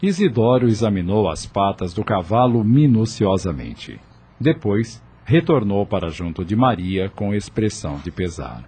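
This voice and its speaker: A man's voice: on the low side, very animated, measured pace, darkish tone, husky. A man delivering scripted dramatic narration as the narrator of a radio drama. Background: music.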